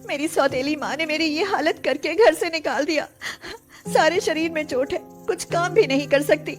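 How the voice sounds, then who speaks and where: Woman acting a high-pitched young beggar girl putting on airs. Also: normal speed, soft background music, natural voice. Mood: pitiful, pleading. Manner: pleading when begging, sugary and provocative when posing.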